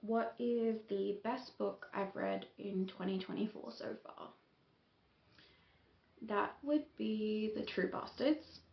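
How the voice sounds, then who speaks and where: A young woman chatting casually on her book vlog, with plenty of ums and soft laughs. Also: mid-range voice, slow pace, vocal fry. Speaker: book YouTuber. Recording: echoey room, dull lo-fi sound, faint hiss.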